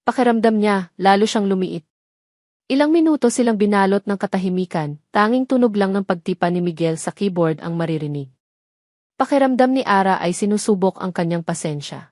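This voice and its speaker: A woman's voice: medium pitch, animated, brisk, darkish tone, dry recording, clean studio sound. A woman reading scripted dramatic story narration as the narrator.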